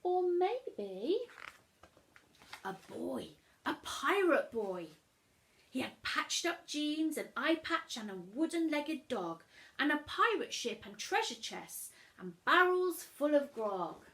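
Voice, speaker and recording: Female children's storyteller: high voice, lively melodic delivery, expressive rhyming narration. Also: slow pace. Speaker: storyteller, reading aloud.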